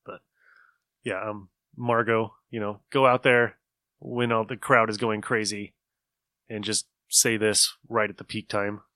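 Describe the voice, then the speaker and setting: A man co-hosting a podcast, chatting casually about old radio and retelling bits in an animated way. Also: lowish voice, unhurried, no echo. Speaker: podcast co-host.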